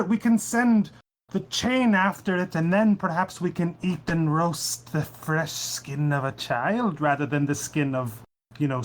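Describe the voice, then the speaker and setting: A timid pirate crewman pleads nervously with his captain, as a man puts him on while running a game. His voice rises above the man's usual pitch and wavers as he bargains. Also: slight background noise, close to an everyday voice, poor audio.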